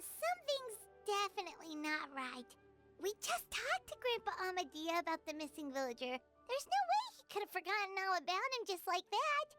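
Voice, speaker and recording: A woman voicing a tiny, chirpy fairy girl companion in a video game, squeaky and childlike, earnest and curious. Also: a bit bright, full character voice.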